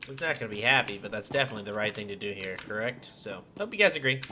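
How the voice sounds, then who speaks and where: A man's voice: fairly low pitch, expressive, quick pace, bright, forceful tone. A man giving casual gameplay commentary as he plays, talking to his viewers. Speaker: gamer, channel host. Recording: faint noise, keyboard clicks.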